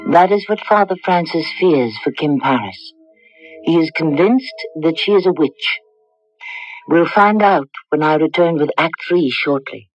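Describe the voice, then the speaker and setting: A woman's voice: deep voice, expressive, a little slow, soft background music. A woman delivering scripted dramatic narration as the host of a radio drama. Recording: dull vintage radio recording.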